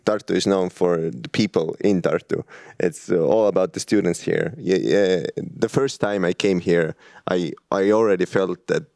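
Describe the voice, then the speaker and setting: A young man talks about his studies in a very low, deep voice. He hesitates and restarts often. The sound is dry and close. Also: moderate pace, gravelly, darkish tone, dry recording. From Estonia. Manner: hesitant, many restarts and fillers. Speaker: master's student guest. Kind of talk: casual interview answers.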